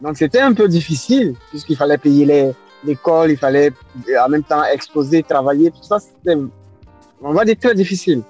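A man's voice: fairly high pitch, animated, moderate pace, a little mellow, faint music behind. A man in his forties with an Ivorian accent, answering interview questions hesitantly, with many fillers and repeated words. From Ivory Coast. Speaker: guest artist.

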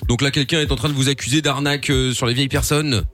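A stern police operator, acted out by a man, speaking far lower in a weighty, official register. He questions callers bossily and formally, as in a radio prank, with music behind him. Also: fairly even intonation, fast, fairly bright tone, lightly acted. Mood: stern, accusing. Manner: bossy, formal, interrogating. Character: deep-voiced, stern, officious male police emergency operator.

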